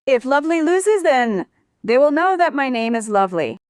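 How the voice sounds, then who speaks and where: A woman from Bangladesh with a fairly high voice tells her own story as a guest. She is animated and emphatic, often repeating phrases to drive a point home. The sound is very dry, with no room echo. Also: normal speed, clean tone. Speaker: interview guest, former sprinter. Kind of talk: personal life-story storytelling.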